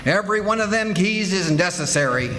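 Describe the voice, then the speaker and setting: Folksy blustering husband played by a man, 1940s radio comedy. High, very animated, stubborn, homespun. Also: brisk, echoey room, a little hiss or hum. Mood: defensive, boastful. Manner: folksy, ungrammatical, blustering speech.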